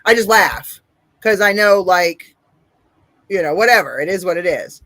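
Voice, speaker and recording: A woman with a deep voice hosting a casual interview, blunt and profane, laughing often. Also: expressive, moderate pace, bright, forceful tone, no echo. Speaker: podcast host.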